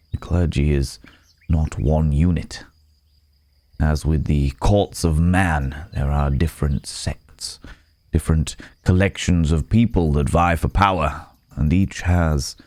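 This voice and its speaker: A very deep, dark-toned cursed werewolf played by a man in an intimate ASMR roleplay. He is weary and courteous, choosing old-fashioned words slowly and hesitantly, in a dry, close sound. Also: dry recording. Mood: resigned, sombre. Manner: hesitant, pensive, old-fashioned formal phrasing.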